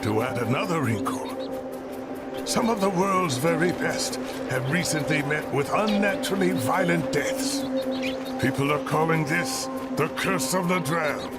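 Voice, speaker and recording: A man's voice: fairly high pitch, expressive, a little slow. An actor playing a knowing, portentous male mystic in a video game, with a dramatic, ornate delivery. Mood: ominous intrigue. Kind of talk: video game cutscene voice acting. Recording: loud music over the voice.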